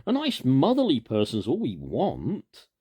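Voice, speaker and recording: A man's voice: medium pitch, huge pitch swings, a little slow, dark tone, husky, voice pushed up, exaggerated voice. A man voicing eager, pleading young lost boys from a storybook, speaking emphatically. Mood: reassuring.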